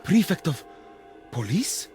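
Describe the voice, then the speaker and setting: An actor playing a fussy, grumbling, excitable village telegraph clerk in a radio drama. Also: male voice, a little high, slow, over music. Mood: anxious, curious.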